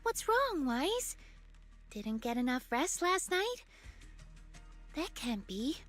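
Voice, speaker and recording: A perky game heroine, caring and alert, with an extremely high girlish voice. Her delivery swings widely in pitch and rings bright over background music. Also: sing-song, takes it slowly, full character voice. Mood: concerned. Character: perky, watchful young girl companion, energetic and caring. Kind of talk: video game voice acting.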